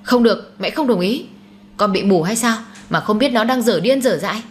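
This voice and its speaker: A woman's voice: fairly high pitch, a little fast, slight background noise, pitched above the performer's own voice, close to an everyday voice. A woman voicing a domineering, snobbish older mother who scolds indignantly in a dramatised audiobook. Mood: furious.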